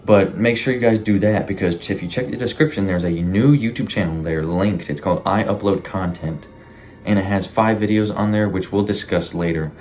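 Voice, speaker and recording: Very deep-voiced man rambling casually. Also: moderate pace, a little mellow. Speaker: YouTube host. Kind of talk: casual commentary on a horror series.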